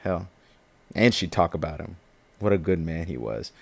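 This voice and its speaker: Defiant young man, low voice, streetwise, sardonic, audio drama, dry close sound. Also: lively intonation, normal speed, vocal fry, no room sound, heavily acted. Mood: bitter. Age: young adult. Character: defiant young activist, younger brother of police chief. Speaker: actor.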